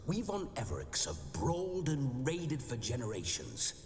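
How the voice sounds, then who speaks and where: A man's voice: medium pitch, huge pitch swings, moderate pace. An actor playing the jovial, boastful ghost of a hard-drinking nobleman brawler in a video game. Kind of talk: video game cutscene acting. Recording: game audio with reverb and music.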